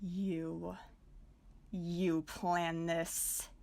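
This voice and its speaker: A woman acting in an audio drama as a proud, indignant fairy courtier with a haughty, deliberate manner. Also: lowish voice, little pitch movement, creaky, a little mellow, exaggerated voice. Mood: indignant, contemptuous.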